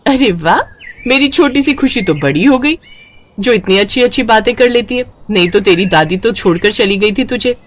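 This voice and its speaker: A protective, streetwise big sister played by a woman in a cartoon moral tale, soothing yet wary. Her pitch is animated, and there is faint noise behind. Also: normal speed, lightly acted. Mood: fond. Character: protective, streetwise young elder-sister figure, poor.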